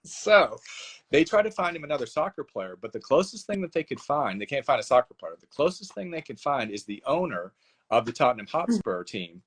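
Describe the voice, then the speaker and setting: A man, an author being interviewed, telling his book's plot in a casual, animated way and acting out bits of dialogue. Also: medium pitch, fairly quick, husky. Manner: animated storyteller, acts out dialogue with laughs. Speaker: author guest.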